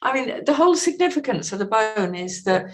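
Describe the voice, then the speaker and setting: A woman's voice: on the high side, very animated, brisk, a little hiss or hum. A woman speaking as a podcast guest on literature, articulate and explanatory. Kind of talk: literary discussion and reading aloud.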